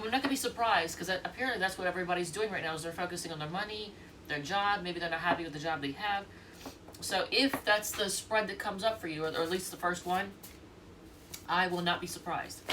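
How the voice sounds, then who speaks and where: A woman's voice: fairly low pitch, distant mic, noisy background. A congested woman with a stuffy nose giving a casual, rambling tarot reading, thinking aloud and restarting often. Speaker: tarot reader.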